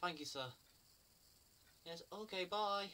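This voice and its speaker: An actor playing a silly, excitable, sheepish bungler in a comic monologue, chattering in a flustered way. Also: male voice, high-pitched, slow, exaggerated voice. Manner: flustered, chatting to himself and his pets. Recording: distant mic, faint background noise.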